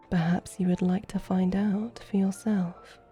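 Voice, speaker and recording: Actress as elegant female vampire seductress for ASMR roleplay; dark mellow tone, unhurried, teasing. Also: mid-range voice, lightly acted. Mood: flirtatious, teasing. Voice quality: soft, breathy. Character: flirtatious, courtly female vampire guiding a guest.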